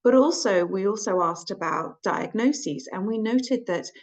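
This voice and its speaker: A woman speaking as a clinical psychologist in a webinar, her voice croaky today, explaining findings in a measured way with frequent ums. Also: mid-range voice, lively intonation. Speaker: clinical psychologist presenting research. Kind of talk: webinar presentation.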